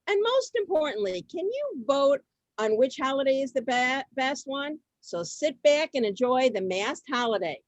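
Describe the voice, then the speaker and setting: A woman's voice: sits high, sing-song, normal speed, no room sound, highs cut off. A woman hosting an amateur comic readers' theater, moving between scripted lines and ad-libs. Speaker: host of a comic contest.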